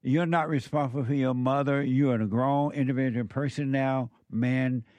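Blunt radio host, dry studio sound. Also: male voice, mid-range voice, steady tone, darkish tone, dry recording, lo-fi sound. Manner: brisk, blunt. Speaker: talk show host. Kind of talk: call-in talk radio.

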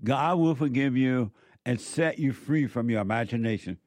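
A man's voice: mid-range voice, normal speed, a little mellow, no echo. A man in his seventies hosting a call-in radio show, giving blunt, preachy advice to callers. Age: 70s.

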